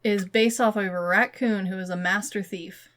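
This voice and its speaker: A woman's voice: mid-range voice, fairly even intonation, normal speed, fairly bright tone. A woman podcast co-host chatting casually and giving her opinions in an informal, loosely phrased way. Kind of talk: casual chat about games and movies.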